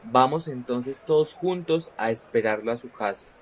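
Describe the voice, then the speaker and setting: A man's voice: mid-range voice, normal speed, natural voice, poor audio. A man playing a fervent young disciple. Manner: fervent, sincere speech. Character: young male disciple, devout and ardent.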